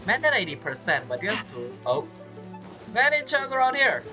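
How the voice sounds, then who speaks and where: A woman's voice: medium pitch, very animated, normal speed, low-quality recording. A woman giving casual video game playthrough commentary, rambling and thinking aloud with questions to herself. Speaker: gamer, video creator. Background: game music.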